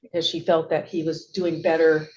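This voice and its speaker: A woman's voice: deep voice, level tone, normal speed, mellow. A middle-aged woman giving courtroom testimony, hesitant and wordy, often correcting herself as she goes. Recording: dull, noisy courtroom audio.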